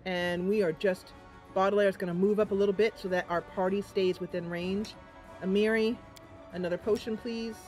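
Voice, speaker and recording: Female gaming host, mid-pitched, chatty and reactive, thinking aloud over faint game music. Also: steady tone, normal speed, darkish tone. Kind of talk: casual let's play commentary.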